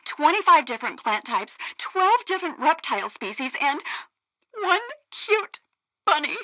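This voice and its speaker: An actress playing an earnest, nature-loving radio news co-host in a satirical game, expressive and drily exasperated. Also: female voice, high voice, a little slow, no echo, full character voice, rough recording. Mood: heartbroken, outraged.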